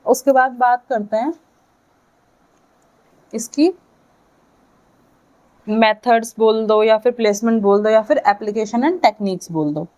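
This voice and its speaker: Slow-paced, higher-pitched Indian woman lecturing. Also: darkish tone, rough recording. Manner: deliberate, halting phrase-by-phrase delivery, often checking in. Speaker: physiotherapy lecturer. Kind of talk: lecture explaining to students, dictating key points.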